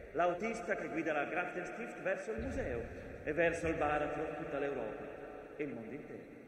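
In a long, distant stage reverb, an ancient mountain speaks through a male actor. The voice is high and projected, full of theatrical declamation. It tells its story with dramatic weight and biting irony. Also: lightly acted. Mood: mocking, ironic. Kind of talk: theatrical monologue. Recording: echoey theatre stage, distant mic, faint noise.

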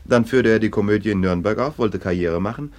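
An actor playing a scornful, domineering police lieutenant in a German radio play, with a sardonic, dismissive manner. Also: male voice, lowish voice, fairly quick, close to an everyday voice. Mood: mocking.

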